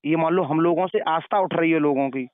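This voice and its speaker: A man speaks over a narrow phone line with a fairly high, smooth and resonant voice. He moralizes like someone giving advice, often repeating his words to drive a point home. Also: fairly quick, dry recording. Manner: moralizing, preachy, repeats phrases. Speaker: caller questioning and advising the other party. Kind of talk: phone conversation. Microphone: telephone. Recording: narrow tinny phone-call recording.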